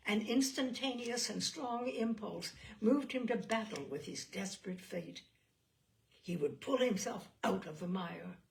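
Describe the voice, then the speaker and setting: A woman storyteller reading literary fiction aloud in an expressive, theatrical way with deliberate phrasing. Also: medium pitch, creaky.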